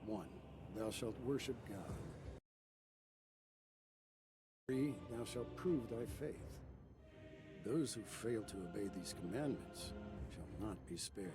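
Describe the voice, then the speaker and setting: A man acting a seasoned older raid hunter in an English anime game, speaking in a grave, measured way. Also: on the low side, music in the background. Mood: wary, solemn.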